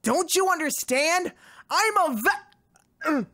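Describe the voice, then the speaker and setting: A woman voicing a brooding, put-upon male cartoon duck in a comic parody dub, halting, exasperated and dramatic. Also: very high voice, lively intonation, sharp tone, full character voice. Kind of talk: live comic dub reading.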